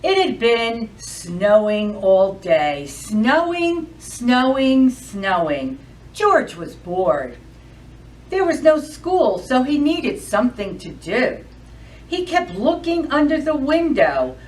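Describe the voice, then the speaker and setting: A woman hosting a children's storytime, reading aloud and addressing young kids in an expressive, sing-song, deliberate way. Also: fairly high pitch, far from the mic. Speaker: library storytime host.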